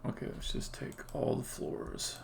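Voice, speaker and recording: A man's voice: a little slow, noisy background. A man giving casual live-coding commentary as a game developer, muttering to himself while he works.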